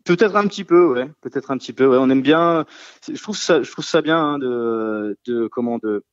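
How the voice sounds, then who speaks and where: A man from a rock trio talks through a radio interview, stopping often for fillers and starting his sentences over. The sound is dry, with the highs a little muffled. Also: normal speed, dry recording. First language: French. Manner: hesitant, many fillers and restarts. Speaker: singer-guitarist of a rock trio. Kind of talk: casual radio interview answers.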